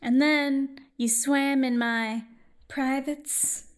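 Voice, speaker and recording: Woman acting a coy, high-pitched sketch lady. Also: fairly even intonation, drawn-out words. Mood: coy, insistent. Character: coy, insistent woman in a spoof sponsor ad.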